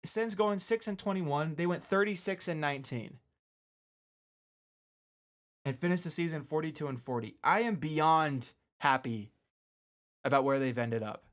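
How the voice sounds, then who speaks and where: A man's voice: fairly high pitch, a little slow, pauses often, a bit bright. A man hosting a casual solo radio show, talking informally with slangy asides and repeating words for emphasis. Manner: emphatic repetition for stress.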